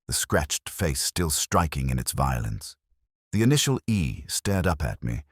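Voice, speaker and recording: A man playing a melancholy older detective inspector, narrating case notes in a measured, reflective, literary way. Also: a bass voice, no room sound, clean studio sound, heavily acted. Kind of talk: scripted first-person literary narration.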